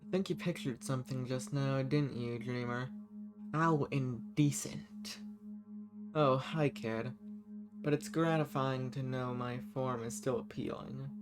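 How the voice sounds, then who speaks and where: A man's voice: medium pitch, unhurried, over music, lightly acted. A man voicing a banished ancient villain in an audio roleplay, world-weary, menacing and flirtatious, musing theatrically in formal wording to the listener. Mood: flirty, teasing. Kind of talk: scripted audio roleplay, intimate monologue to the listener.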